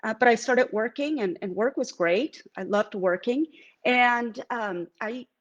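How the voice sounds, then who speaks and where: A woman's voice: a little high, a little slow, dull audio. Reflective middle-aged woman, Venezuelan accent. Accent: Venezuelan Spanish. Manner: reflective, with many fillers and restarts. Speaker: artist. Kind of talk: live-streamed artist talk. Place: home art studio.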